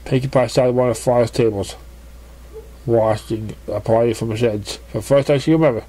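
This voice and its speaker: A man narrating a fan fiction story as an amateur reader, with halting, stumbling delivery. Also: mid-range voice, fairly even intonation, warm tone, slight background noise.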